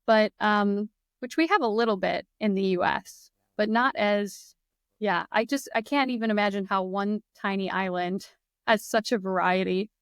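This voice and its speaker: Young female guest, Midwestern American accent, chatty and upbeat; smooth clear voice, dry close mic. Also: mid-range voice, normal speed, no echo. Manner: enthusiastic, laughs often, chatty. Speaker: guest, English teacher. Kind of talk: casual conversation.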